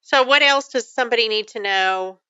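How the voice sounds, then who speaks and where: Bright, smooth woman radio host, Texan. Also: fairly high pitch, a little fast, no echo, lo-fi sound. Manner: affirming, asks leading questions. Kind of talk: radio interview. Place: radio studio.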